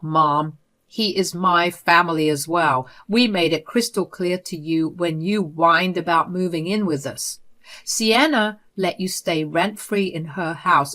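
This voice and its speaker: A woman's voice: lowish voice, fairly bright tone. A woman voicing a firm, protective grown son who scolds sternly in a read-aloud story.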